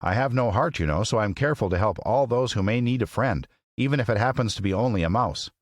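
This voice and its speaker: A man doing a gentle tin man. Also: lowish voice, animated, quick pace, no room sound, clean studio sound, voice pushed up. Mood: earnest. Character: gentle kind-hearted male tin man.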